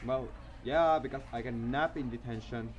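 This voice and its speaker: A man's voice: medium pitch, moderate pace, lightly acted. A man voicing a cocky, sarcastic teenage schoolboy with a flippant manner in a visual novel game. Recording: music behind.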